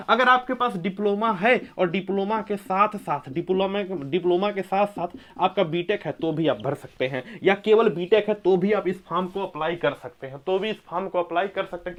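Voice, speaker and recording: A man teaching an exam coaching class, emphatically repeating key points and putting quiz-like questions to his listeners. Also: high voice. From India. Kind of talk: coaching lecture explaining exam eligibility and syllabus.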